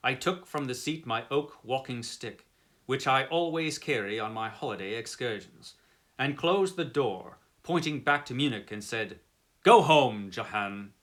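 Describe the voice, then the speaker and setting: A man giving a melodramatic reading of a classic gothic story, slow and theatrical with big swings in loudness. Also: medium pitch, expressive. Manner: dramatic, slow, with sweeping rises and drops.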